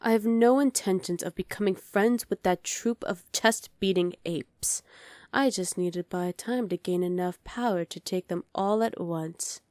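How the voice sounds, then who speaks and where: A woman's voice: medium pitch, a little slow, a little mellow, no echo, clean studio sound, natural voice. A woman playing a dominant evil sorceress queen in an ASMR roleplay, commanding and condescending with cool menace. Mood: scheming. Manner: commanding, condescending, coolly threatening.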